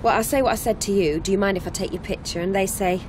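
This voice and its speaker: A woman's voice: mid-range voice, fast talker, a little mellow, close to an everyday voice, low-quality recording. A woman acting a wary, plain-spoken young hitch-hiker in a naturalistic radio drama, talking over car noise. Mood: matter-of-fact. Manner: guarded, matter-of-fact. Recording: clear vehicle noise around the voice. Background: car engine and road ambience.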